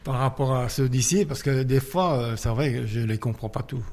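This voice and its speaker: A man with an Alsatian accent who talks slowly and pauses to search for words. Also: medium pitch, a little mellow.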